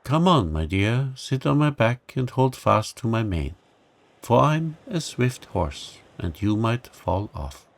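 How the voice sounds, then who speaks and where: A man voicing a noble, gentle lion in a storybook reading, speaking pleasantly and kindly. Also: mid-range voice, big pitch swings, slow, gravelly, exaggerated voice. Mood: friendly.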